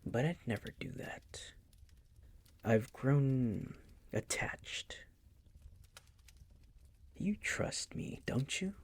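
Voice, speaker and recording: A man playing a possessive, coolly menacing vampire master in an intimate audio roleplay, teasing and condescending in a breathy, gravelly whisper. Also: mid-range voice, very animated, exaggerated voice. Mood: hungry, possessive. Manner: slow, condescending, teasing, coaxing.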